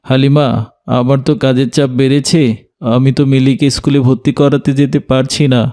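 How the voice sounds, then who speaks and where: A man voicing a kind, concerned family father in a moral cartoon, sober and gentle. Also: mid-range voice, level tone, brisk, clean tone, close to an everyday voice. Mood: calm, caring. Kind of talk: Bengali moral cartoon voice acting.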